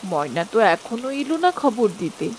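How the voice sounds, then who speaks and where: A woman's voice: fairly high pitch, sing-song, brisk, a little hiss or hum. A woman voicing a devoted village mother in a cartoon, wistfully talking to herself. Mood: worried, longing.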